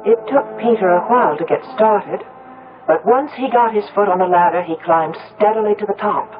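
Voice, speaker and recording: An actress plays a bereaved, reflective woman recounting her life in 1970s radio drama. Her phrasing is measured and literary. The old broadcast is thin and phone-like, with clear music behind it. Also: medium pitch, close to an everyday voice. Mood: wistful. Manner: measured, literary first-person storytelling. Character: Una, grieving, reflective grown daughter telling her story. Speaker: lead actress and narrator.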